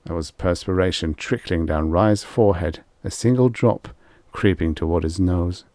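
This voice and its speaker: A man's voice: low voice, normal speed, a little mellow, no echo, clean studio sound. A man reading vintage science fiction as an audiobook narrator, in scripted storytelling style.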